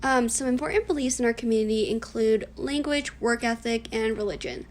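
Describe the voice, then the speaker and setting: A woman's voice: on the high side, fairly quick. Young woman, early 20s, native Cantonese speaker, reads prepared interview answers earnestly; faint background noise. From United States. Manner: earnest, scripted, reflective. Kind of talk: read-aloud class project interview.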